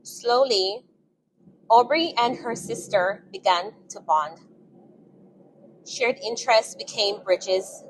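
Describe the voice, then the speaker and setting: A woman narrating a scripted dramatic story in a solemn, measured manner, in short phrases. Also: a little high, slight background noise, poor audio.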